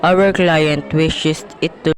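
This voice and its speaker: A man's voice: on the high side, steady tone, slow pace. Noisy recording, man acting a cold crime boss. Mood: cold, commanding. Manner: terse, commanding. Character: cold, businesslike male crime boss giving murder orders. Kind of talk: amateur student radio play acting.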